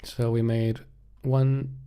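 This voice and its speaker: A man's voice: medium pitch, level tone, takes it slowly, mellow, clean tone. A man giving casual live-coding commentary, thinking aloud in a hesitant way as he works.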